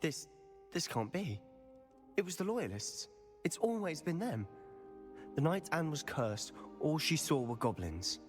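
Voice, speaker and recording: An actor playing an intense, brooding young wizard student, speaking emotively in a video game drama. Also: male voice, a little high, sing-song, measured pace, long pauses. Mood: shocked, disbelieving. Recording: reverberant hall, music behind.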